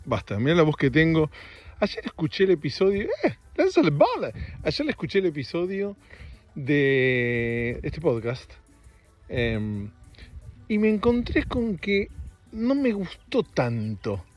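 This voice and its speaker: Man, Argentine accent, slightly raspy, somewhat high pitch, casual rambling podcast, self-deprecating humour. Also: very animated, measured pace, muffled recording, soft background music. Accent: Argentine Spanish. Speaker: podcast host. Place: outdoors on a street.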